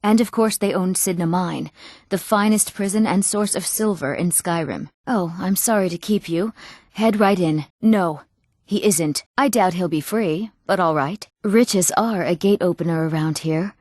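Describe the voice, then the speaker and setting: An actress voicing young townswomen and nobles in a fantasy video game, with earnest, clearly spoken scripted lines. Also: female voice, on the low side, unhurried, darkish tone, no room sound, studio quality. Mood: polite, brisk. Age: young adult.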